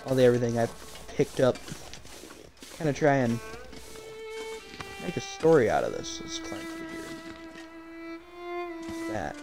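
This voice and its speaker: A man casually commenting while he plays a game, in a calm, fairly monotone voice that drifts into rambling asides. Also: medium pitch, measured pace, pauses often, music in the background. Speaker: gameplay streamer.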